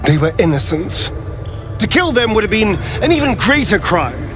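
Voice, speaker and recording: An actor voicing a sardonic, guilt-ridden male vampire spawn in a video game, weary, defensive and quietly intense. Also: high-pitched, big pitch swings, moderate pace, music in the background.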